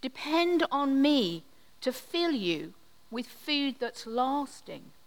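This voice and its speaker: A woman leading a communion service, giving a gentle, reflective sermon with measured pauses. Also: high-pitched, very animated. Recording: long hall reverb.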